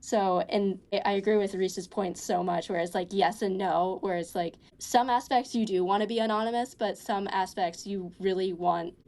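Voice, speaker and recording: A young woman in college talks through her experiences in a loose, rambling way. She fills her sentences with "like" and "um" and often starts them over. Her pitch sits right in the middle for a woman. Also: steady tone, moderate pace, few pauses, a little mellow. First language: English. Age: young adult. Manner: rambling, many fillers and restarts. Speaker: college student guest. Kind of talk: casual conversation.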